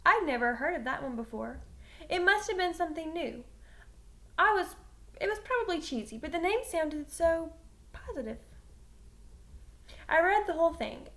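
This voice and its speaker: A woman reading a children's novel aloud in an animated, emphatic way, like a teacher narrating to a class. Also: high voice. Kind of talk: expressive read-aloud of a children's novel, first-person narration.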